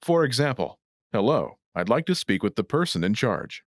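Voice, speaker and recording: A man narrates a scripted language lesson as its host. His delivery is clear and instructional, with lively pitch movement. The sound is close and dry. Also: normal speed, dry recording.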